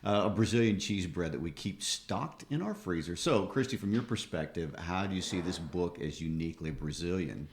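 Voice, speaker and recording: A man's voice: low-pitched, lively intonation, normal speed, hardly any pauses, slight background noise. A man, talking conversationally as the co-host of a podcast.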